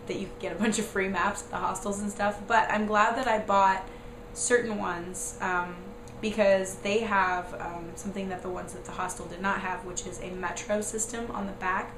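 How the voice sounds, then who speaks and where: A young American woman, hoarse from a cold, with a raspy, squeaky voice, casually rambling through a vlog with lots of "like" and "um". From United States. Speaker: vlogger. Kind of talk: casual chatty explanation. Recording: echoey room with noise.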